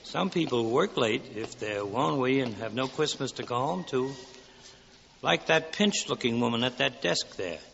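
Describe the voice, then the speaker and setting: Stern, creaky-voiced guardian angel. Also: male voice, medium pitch, moderate pace, a bit bright. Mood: solemn. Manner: solemn, theatrical, commanding. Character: stern guardian angel showing a grim vision. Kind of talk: 1940s radio drama acting. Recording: noisy, dull 1949 radio recording.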